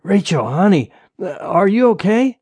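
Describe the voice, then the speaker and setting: A man acting a distraught, hunted husband, pitch swinging. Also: big pitch swings, darkish tone, slightly rough, dry recording, full character voice. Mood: strained, tender. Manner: halting, stammering, urgent. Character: hunted, distraught husband and father, loving but panicked.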